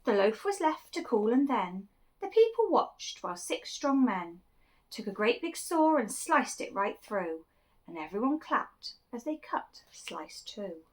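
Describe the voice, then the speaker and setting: High, animated woman reading a children's story. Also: rough recording. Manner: expressive, deliberate, dramatic emphasis.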